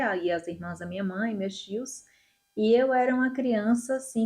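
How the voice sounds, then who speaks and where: A middle-aged woman from Salvador, a police chief telling her life story as an interview guest in a lively, chatty way. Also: medium pitch. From Salvador, Bahia, Brazil. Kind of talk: interview answers, casual storytelling.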